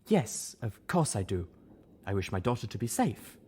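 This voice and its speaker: A man's voice: lowish voice, sing-song, moderate pace, dark tone, breathy edge. A man voicing a wise, motherly adult female zebra who speaks formally without contractions, in an audiobook reading. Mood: earnest. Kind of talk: audiobook character voice.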